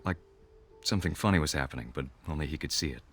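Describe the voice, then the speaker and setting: A man's voice: a bass voice, lively intonation, fast, a little hiss or hum. An actor playing an earnest middle-aged American father in a video game, encouraging but firm. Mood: wistful, tender. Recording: music behind the voice.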